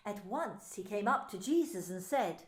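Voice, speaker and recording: A woman minister narrating scripture in an expressive, deliberate way. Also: mid-range voice. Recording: echoey room.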